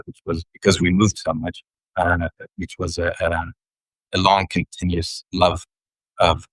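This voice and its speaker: A middle-aged man from Sweden with a Swedish accent, speaking English as a guest in a thoughtful conversation, pausing often and restarting his phrases. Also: sits low, a bit bright, no echo, rough recording. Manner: thoughtful, unhurried, with frequent pauses and restarts. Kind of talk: reflective interview conversation.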